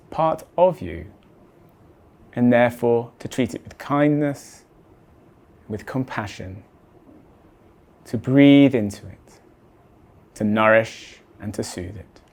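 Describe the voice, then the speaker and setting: A man leading a guided body scan meditation in a measured, deliberate way, letting his phrase endings fall soft. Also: mid-range voice.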